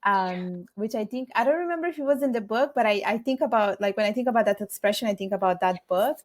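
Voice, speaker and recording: A woman's voice: mid-range voice, expressive, quick pace, no room sound. Earnest woman guest, Bengali native. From Italy. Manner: earnest, reflective, gives advice. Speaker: podcast guest. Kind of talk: casual interview chat.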